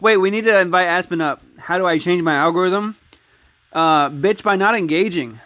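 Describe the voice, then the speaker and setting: Male chat host, higher pitch, dry sound, constant crude jokes. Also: moderate pace, sharp tone, no echo. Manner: crude joking banter. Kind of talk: casual online voice chat.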